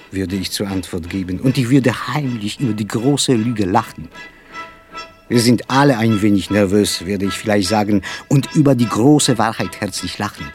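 An actor playing an aging, nervous yet cheerful poet in an emphatic, courteous theatrical monologue. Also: male voice, lowish voice. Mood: wry, polite. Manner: emphatic, mannered, courteous, circling repetitive self-talk. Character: aging, slightly nervous, whimsical poet with quirks, defiantly cheerful. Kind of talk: theatrical literary monologue with music.

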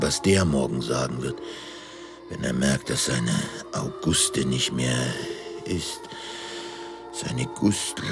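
A man's voice: rumbling low, gravelly, full character voice. An actor playing a blustering, sentimental middle-aged father and opera singer in a theatrical German radio play, muttering and groaning to himself.

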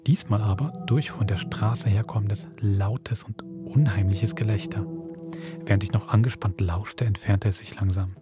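A man with a Swiss accent hosting a podcast, reading a spooky story aloud in a measured storytelling manner. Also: fairly low pitch, fairly even intonation, loud music behind the voice. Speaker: podcast host.

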